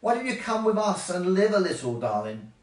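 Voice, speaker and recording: Superior, bored female horsefly with a sly streak, storybook style, expressive swoops, male performer. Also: high voice, brisk, reverberant, distant mic, voice pushed up, rough recording. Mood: bored disdain. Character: snooty, bored female horsefly who relishes biting.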